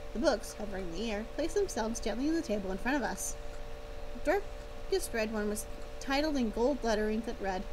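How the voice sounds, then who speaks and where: A woman reading a story aloud on a livestream, breaking off for playful, casual asides. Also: on the high side. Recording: clear background noise.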